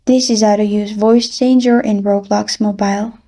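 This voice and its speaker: A woman narrating a phone app tutorial in a polite, even, scripted voice-over, walking through the steps one by one. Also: medium pitch, moderate pace. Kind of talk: scripted step-by-step instructions. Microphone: voice-over over screen recording.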